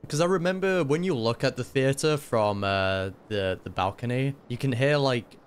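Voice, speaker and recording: A man's voice: medium pitch, normal speed, clean tone. A man giving casual reaction commentary on a game, musing aloud with some hesitation.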